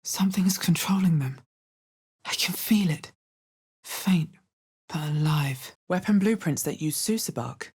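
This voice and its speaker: An actress playing a cool-headed, pragmatic fantasy adventurer in a video game, with a low, measured, understated delivery. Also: female voice, animated, darkish tone, husky, heavily acted. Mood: hushed, grave. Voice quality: low, bassy voice. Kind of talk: video game character voice lines.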